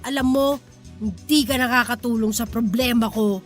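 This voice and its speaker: A high-pitched actress playing a heartbroken wife in a Filipino radio drama. She is drunk, tearful and stubborn, slurring her defiance. Soft music sits behind her voice. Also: fairly even intonation, normal speed, close to an everyday voice. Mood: irritated, defiant.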